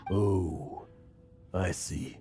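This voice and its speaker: An actor playing an old, remorseful, haunted drinker and former adventurer in a video game, with a creaky voice. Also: male voice, deep voice, steady tone, very slow, mellow, soft background music, heavily acted. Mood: heavy-hearted, confessing.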